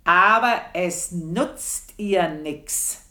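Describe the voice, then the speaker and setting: Radio play role: unforgiving old housekeeper, southern German or Austrian accent, deep female register, deliberate and intense, echoing room. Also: bright, forceful tone, full character voice. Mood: cold, grimly satisfied. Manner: deliberate, intense, drops into whispers. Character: bitter, vengeful old housekeeper, pious and unforgiving. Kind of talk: slow, literary radio drama monologue.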